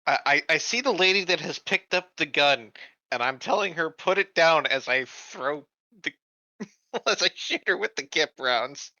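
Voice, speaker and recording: A man's voice: fairly high pitch, expressive, unhurried, pushed and bright, dry recording, lo-fi sound. A man playing a tabletop game, casually and playfully narrating what his character does.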